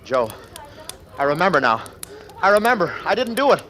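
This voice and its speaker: An actor playing a brooding, guilty and slightly unhinged man in a halting, dramatic 1940s radio style. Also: sits high, sing-song, pushed and bright, full character voice. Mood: agitated. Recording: noisy old radio recording.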